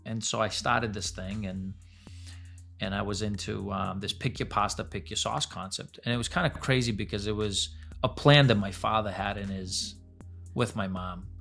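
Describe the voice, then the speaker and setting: A man's voice: fairly low pitch, fairly even intonation, moderate pace, vocal fry, fairly bright tone, soft background music. A man in his forties telling his business story as a podcast guest, blunt and casual, swearing freely. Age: 40s. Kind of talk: candid storytelling interview.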